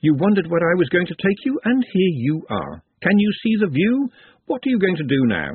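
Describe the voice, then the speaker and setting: A man's voice: high voice, huge pitch swings, a little fast, husky, no echo, pitched above the performer's own voice, exaggerated voice. A man voicing a talking glass of sherry in a storybook, a cheeky tempter who coaxes and argues. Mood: coaxing. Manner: coaxing, brash, argumentative. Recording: muffled phone-like narrow band.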